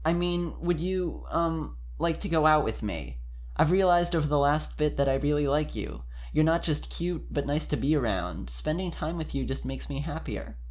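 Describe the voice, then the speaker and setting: Guy reading as earnest anime girl, a little higher pitched, hesitant love confession style. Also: normal speed, close to an everyday voice. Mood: earnest, tender. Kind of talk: dramatic fan fiction reading.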